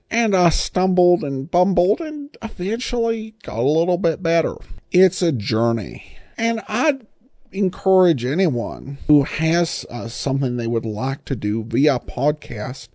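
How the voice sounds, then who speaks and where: A man hosting a solo podcast, giving informal commentary in a self-deprecating, hesitant way with frequent pauses. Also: a little high, a little mellow, no room sound, clean studio sound. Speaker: podcast host.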